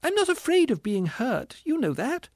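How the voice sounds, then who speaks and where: A man's voice: wildly swooping pitch, normal speed, darkish tone, no echo, clean studio sound, exaggerated voice. A man voicing a panicky, vain woman ghost with a squealing, whining, indignant voice in an audiobook. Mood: exasperated. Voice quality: squealing, shrill. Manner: whining, indignant, self-conscious. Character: panicky, vain female ghost in shabby finery. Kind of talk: audiobook character voice.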